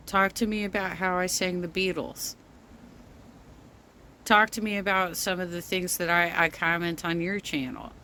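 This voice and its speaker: Slow-spoken woman with a low, bassy voice. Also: a bit bright, slight background noise. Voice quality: bass to her voice. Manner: slow-spoken, rambling with many ums. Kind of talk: casual rant.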